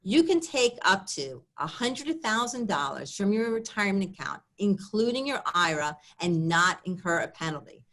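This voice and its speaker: A woman presenting at an accounting webinar, reading prepared remarks in a measured way that drops at the ends of sentences. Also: mid-range voice, a bit bright.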